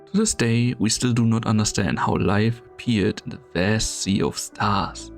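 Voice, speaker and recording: A man playing a brooding, world-weary strategist in a fantasy game fan dub, delivering solemn, theatrical monologues with a gravelly voice. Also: on the low side, fairly even intonation, measured pace, far from the mic. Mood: solemn, reverent. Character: brooding, world-weary male strategist called Doctor, torn and grandiose. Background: background music.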